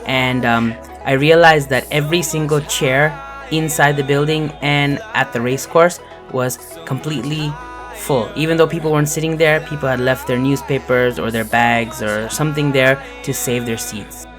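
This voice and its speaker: A young man with a cold-roughened, hoarse voice narrates his own travel vlog in a relaxed, rambling way. His pitch sits mid-range, and background music plays clearly under his talk. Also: normal speed. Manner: chatty, loose, many "like" and "um" fillers. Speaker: vlogger.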